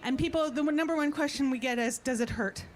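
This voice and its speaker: A woman's voice: high voice, fairly quick. A woman who performs as a throat singer, chatting playfully and instructing an audience in an informal way from an outdoor festival stage. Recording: live outdoor sound, clear background noise.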